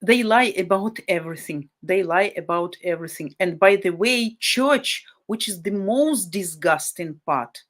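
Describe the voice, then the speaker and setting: A woman's voice: mid-range voice, measured pace, hardly any pauses. A woman from Ukraine, a native Russian speaker with a Ukrainian-Russian accent, hosting a live stream and talking bluntly and indignantly, often repeating herself for emphasis. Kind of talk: casual live stream chat.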